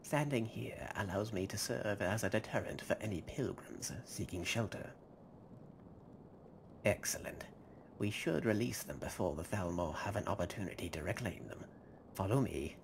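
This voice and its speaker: A calculating, formal fantasy guard, played by a man for a video game. His voice is fairly low and gravelly, with measured delivery and clear ambient noise behind. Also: animated. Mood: composed. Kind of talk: video game character acting.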